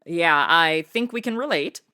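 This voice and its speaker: Bright, lively American woman hosting a podcast. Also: lowish voice, unhurried, clear, resonant tone. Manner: sassy, slangy, playful. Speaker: podcast host. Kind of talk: chatty semi-scripted interview.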